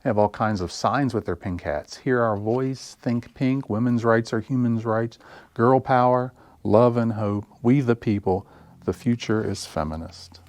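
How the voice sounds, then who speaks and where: A man hosting a story time for young children, reading aloud with deliberate, simple phrasing. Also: mid-range voice, darkish tone, dry recording. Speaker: library story time host.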